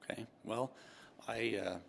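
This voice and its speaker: A man in his fifties from Utah speaks in a very low, gravelly voice. He answers questions as a guest, unhurried, full of ums and restarts, with a dry, self-mocking wit. A faint noise sits under the recording. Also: fairly bright tone. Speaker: tax partner and guest. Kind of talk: relaxed interview answers.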